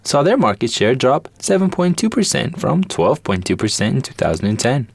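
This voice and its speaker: A man delivers a scripted radio news report at a medium pitch. His intonation moves up and down a lot, and the sound is dry. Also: sing-song, moderate pace, vocal fry, husky, no echo.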